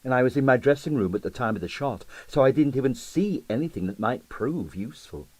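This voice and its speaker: A man's voice: medium pitch, animated, fairly quick, a little mellow, no room sound, close to an everyday voice. A man reading the part of a candid, mischievous young ballerina in an audiobook, confiding and wry.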